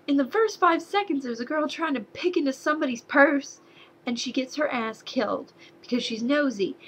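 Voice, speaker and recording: Young woman movie reviewer, slight Southern accent, higher voice, sarcastic rants with exasperated outbursts. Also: a little hiss or hum, poor audio. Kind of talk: casual movie review to camera.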